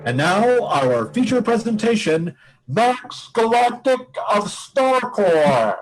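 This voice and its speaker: A man's voice: sits high, big pitch swings, slow pace, poor audio. A man announcing programs in an old-time radio style, with music behind him.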